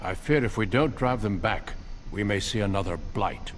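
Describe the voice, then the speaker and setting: An actor playing a grave, courteous warrior recruiter in a fantasy game, speaking formally and earnestly. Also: male voice, fairly low pitch, normal speed, a little hiss or hum, lightly acted. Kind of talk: fantasy video game voice acting.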